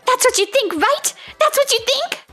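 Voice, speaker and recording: A woman's voice: extremely high pitch, animated, moderate pace, bright, forceful tone, soft background music, full character voice. A woman voicing a tough, hot-tempered teenage delinquent girl who talks blunt and threatening. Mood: defensive. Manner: blunt, threatening street talk. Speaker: voice actor in a manga dub.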